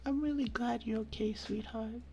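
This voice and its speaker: A woman hosting an ASMR live stream, chatting casually and affectionately to viewers in a soft, breathy voice that often drops into a whisper. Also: on the high side, steady tone, moderate pace. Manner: affectionate, intimate, uses pet names.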